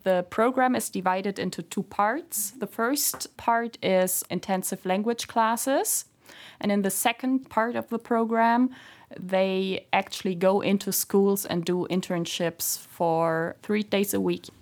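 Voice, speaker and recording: Slow-speaking woman programme coordinator. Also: mid-range voice, fairly even intonation. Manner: explanatory.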